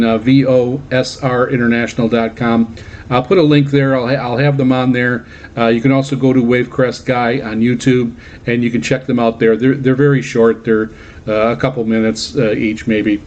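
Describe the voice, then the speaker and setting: A man talks casually as the host of a hobby radio show, in a mid-pitched voice. He halts often, filling gaps with uhs and repeating words as he recounts things. The audio is slightly dull and lo-fi. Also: little pitch movement, normal speed, a little mellow. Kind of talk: casual radio monologue recounting personal trips. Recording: dull, lo-fi band-limited audio.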